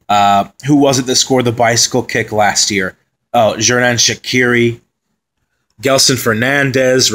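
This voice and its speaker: A man's voice: mid-range voice, fairly bright tone. Casual man previewing a football side. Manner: conversational, with fillers and asides. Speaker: football pundit. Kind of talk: solo commentary on team previews.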